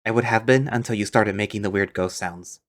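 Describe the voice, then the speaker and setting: A man voicing a blunt, unimpressed homeowner who answers with dry, deadpan sarcasm. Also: lowish voice, fairly quick, voice pushed down, close to an everyday voice.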